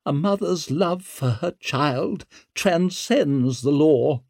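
Audiobook noblewoman, older mother, played by a man: high, slow, sharp-edged, haughty and menacing. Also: expressive, no room sound, clean studio sound. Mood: coldly defiant. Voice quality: sharp, shrieking edge. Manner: haughty, drawn-out emphatic delivery. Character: imperious aristocratic older mother, shrill, menacing, pleading.

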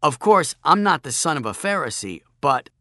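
Man voicing a cheeky, forceful boy. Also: fairly high pitch, big pitch swings, moderate pace, no room sound, clean studio sound, voice pushed up. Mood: sly. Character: cheeky boy hero, bluffing and teasing his bully.

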